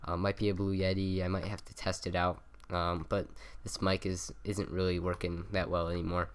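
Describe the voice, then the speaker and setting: Nasal young guy with a low voice explaining game stuff, lots of ums, cheap usb mic at his desk. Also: flat delivery, moderate pace, clean tone. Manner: rambling, many ums and self-corrections. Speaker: gaming tutorial maker. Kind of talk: casual game tutorial voice-over. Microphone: cheap USB desk mic by the keyboard.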